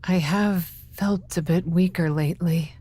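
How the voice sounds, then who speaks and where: Weakened, resolute female wrestler for a game cutscene, lowish and a little husky. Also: steady tone, unhurried, mellow. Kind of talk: video game cutscene acting.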